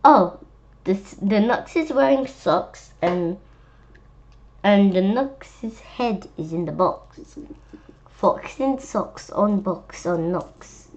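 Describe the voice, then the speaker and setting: A woman's voice: mid-range voice, lively intonation, slow, a little mellow, highs cut off. A woman reading a children's book aloud, adding chatty, playful asides and speaking directly to young listeners.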